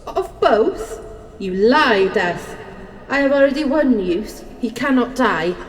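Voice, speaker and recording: A woman's voice: fairly high pitch, expressive, slow pace. An actress playing Life personified as a proud, hopeful woman, declaiming earnestly in a stage-like audio drama. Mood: defiant. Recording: echoey hall, faint noise.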